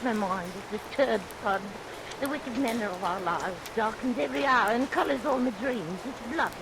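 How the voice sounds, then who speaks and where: A woman's voice: a little high, moderate pace, natural voice. A woman playing a scheming, fretful pie seller in a vintage radio play, coy and anxious in a theatrical delivery. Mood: guilt-ridden. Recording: hissy vintage radio recording with rain noise.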